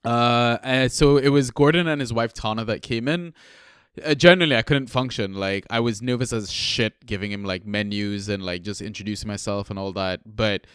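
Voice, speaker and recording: Young man from trinidad, podcast co-host, loose chatty delivery, smooth voice, dry close mic. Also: lowish voice, normal speed, a bit bright, no echo. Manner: laid-back, swears freely, lots of fillers. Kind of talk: casual chat, storytelling.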